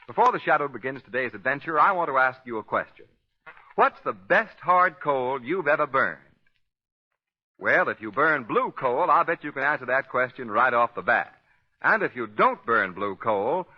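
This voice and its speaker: A man's voice: mid-range voice, expressive, moderate pace. A man announcing in 1940s radio style, with emphatic, folksy salesmanship. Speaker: radio announcer and sponsor pitchman. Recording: dull 1940s radio recording.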